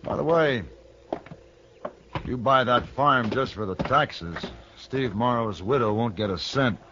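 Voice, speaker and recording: An actor playing a cool, laconic gunfighter for hire in an American radio Western, measured, terse and firm. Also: male voice, medium pitch, noisy background, close to an everyday voice. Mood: quietly stern. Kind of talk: old radio Western acting and narration. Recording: muffled vintage radio broadcast.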